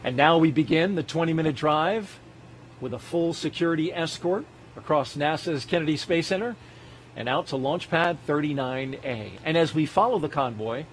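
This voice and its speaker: A man hosting a live launch broadcast, guiding viewers in a smooth, announcer-like way. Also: a little high, moderate pace, slight background noise.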